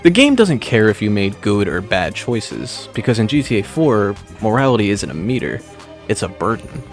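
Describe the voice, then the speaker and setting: A man reading a scripted video essay in a dry, wry, measured way. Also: fairly low pitch, very animated. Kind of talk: scripted narration. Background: background music.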